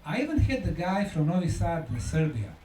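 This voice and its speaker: A man giving a conference lecture in an emphatic, deliberate way, repeating key words for effect. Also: fairly high pitch, sing-song, warm tone. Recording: echoey room, distant mic, faint noise.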